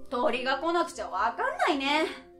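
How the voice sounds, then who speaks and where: A woman acts out an eager young country boy, curious and earnest, wondering aloud in a storybook tale. The voice is very high and rings in an echoey room, with music behind. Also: wildly swooping pitch, moderate pace.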